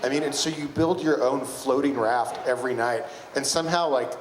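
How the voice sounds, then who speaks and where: A man's voice: mid-range voice, normal speed. A man with an American accent hosts a live event casually, with informal, repetitive phrasing. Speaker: event host. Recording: echoey room, clear background noise.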